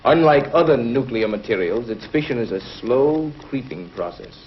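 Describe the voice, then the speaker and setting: A man playing a grave, learned space scientist in 1950s television adventure style, measured and authoritative. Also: mid-range voice, lively intonation, close to an everyday voice. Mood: grave, thoughtful. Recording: dull, noisy 1950s TV film soundtrack.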